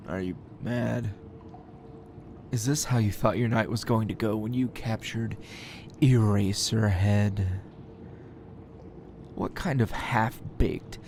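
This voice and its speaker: Man acting as defiant captured male hero, slow sardonic drawl, taunting, sighs and chuckles, ASMR roleplay, faint background noise. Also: medium pitch, a little mellow. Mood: mocking, defiant.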